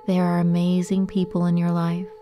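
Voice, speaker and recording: A woman leading a guided meditation in a gentle, soothing, unhurried manner with long pauses. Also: lowish voice, completely flat delivery, music in the background.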